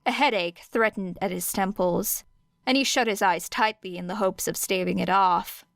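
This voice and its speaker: A woman's voice: mid-range voice, moderate pace, vocal fry, no echo, clean studio sound. A woman reading scripted fiction as an audiobook narrator.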